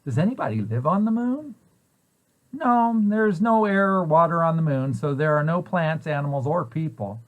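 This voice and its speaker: A man's voice: fairly high pitch, lively intonation, normal speed, narrow, tinny audio. A man reading a children's picture book aloud, posing questions and answers in a storytelling way for young listeners. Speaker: preschool site director reading aloud.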